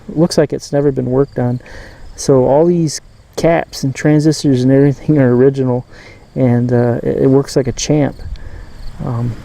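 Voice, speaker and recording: Mellow, halting man showing a gadget. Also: medium pitch, gravelly, poor audio. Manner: halting, rambling, thinking aloud. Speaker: host of a radio collecting channel. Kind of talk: casual hands-on gadget demonstration.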